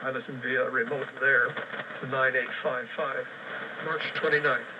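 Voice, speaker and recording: A man hosting a shortwave radio news show, reading listener reports and frequency lists in a brisk, matter-of-fact way with dry sarcasm. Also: medium pitch, little pitch movement, lo-fi sound. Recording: distant, faintly noisy shortwave radio relay.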